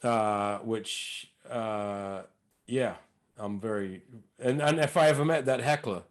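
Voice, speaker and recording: A male comedian telling stories in a casual interview, lively, acting out the other people's lines. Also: on the low side, slow. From lives in North London. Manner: animated, acts out dialogue in put-on voices. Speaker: comedian and impressionist, guest.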